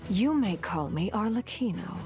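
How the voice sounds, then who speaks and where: An actress playing a composed, guarded woman of rank in a video game, speaking in a measured, formal manner. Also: medium pitch, animated, lightly acted. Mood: cool, composed. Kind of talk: video game character acting. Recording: background music under the voice.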